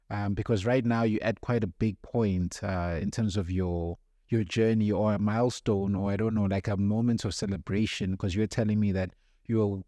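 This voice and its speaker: Mellow, low-voiced male podcast host. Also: dry recording, clean studio sound. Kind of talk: casual interview.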